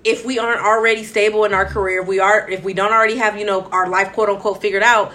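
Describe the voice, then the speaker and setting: A woman's voice: medium pitch, little pitch movement, quick pace, fairly bright tone. A woman in her late twenties, co-hosting a casual chat, talking loosely with lots of fillers and laughing easily. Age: late 20s. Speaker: podcast co-host.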